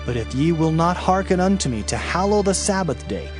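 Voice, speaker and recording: A man giving a dramatized scripture narration as an audio Bible narrator, solemn and declamatory with deliberate phrasing. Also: fairly high pitch, expressive. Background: background music.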